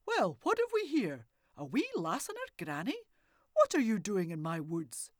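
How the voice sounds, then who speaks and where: Woman playing a storybook old hermit, booming, loud, lively swings, dry close sound. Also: unhurried, dry recording. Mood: jovial.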